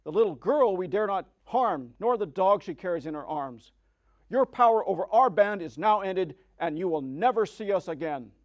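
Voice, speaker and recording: Storybook creature: man as big leader of flying monkeys, dutiful, firm declamation, somewhat high voice. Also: lively intonation, moderate pace, muffled recording. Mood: firm, declamatory. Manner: formal, declamatory.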